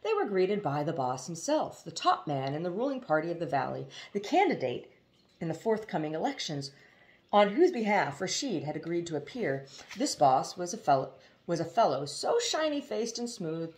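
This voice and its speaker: Low-pitched woman reading a story. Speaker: audiobook reader. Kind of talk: expressive storybook narration.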